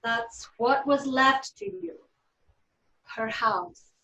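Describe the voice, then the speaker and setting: A woman's voice: high-pitched, animated, pushed and bright, exaggerated voice. Echoey, formal lawyer woman, played by an actress. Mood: composed, formal. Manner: formal, measured, deliberate. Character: Madeline, composed 55-year-old female small-town lawyer. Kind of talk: table read of TV drama script.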